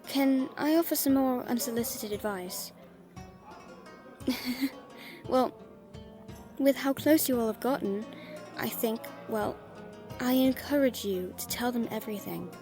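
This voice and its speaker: A woman playing a friendly female merchant in a fantasy audio drama, gently teasing, caring and encouraging as she talks to the listener. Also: a little high, measured pace, long pauses, over music. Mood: caring, earnest.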